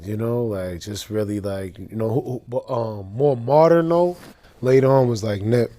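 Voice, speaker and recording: Mellow-voiced young rapper, loose and rambling. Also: male voice, medium pitch, moderate pace. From Boston, Massachusetts. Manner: loose, rambling street slang with many fillers. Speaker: rapper, guest. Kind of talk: casual interview chat.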